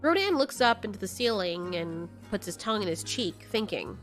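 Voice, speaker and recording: A woman's voice: mid-range voice, sing-song, normal speed, a bit bright, faint music behind. A woman narrator reading a scripted story in an audio drama.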